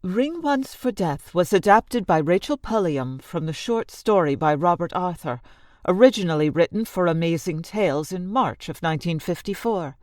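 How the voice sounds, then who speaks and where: A woman's voice: lowish voice, animated, normal speed, a little mellow, no echo, studio quality. A woman announcer reading a scripted introduction in the manner of a radio host. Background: music.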